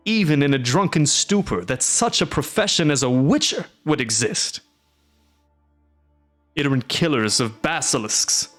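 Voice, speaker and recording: A man voicing a loud, gruff middle-aged castellan who speaks emphatically with sharp rises. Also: on the high side, voice pushed up. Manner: exclaiming, emphatic, rising.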